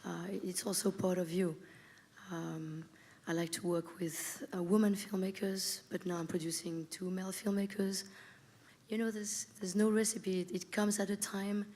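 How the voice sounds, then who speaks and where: Thoughtful woman producer, lowish voice. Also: level tone, normal speed, mellow. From Spain. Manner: thoughtful, hesitant, many fillers. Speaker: film producer. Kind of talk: panel discussion answer.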